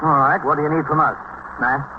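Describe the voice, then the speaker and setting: An actor playing a gruff but fair police precinct captain with a brisk, authoritative, businesslike manner in a 1950s radio drama. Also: male voice, fairly high pitch, fairly even intonation, close to an everyday voice. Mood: brisk, attentive. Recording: noisy old radio recording, dull band.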